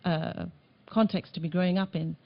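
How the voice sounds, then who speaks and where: A woman from Tanzania presenting a report at a meeting, speaking earnestly with some halting restarts, in a meeting room. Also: lowish voice, steady tone, dull audio. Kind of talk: conference presentation.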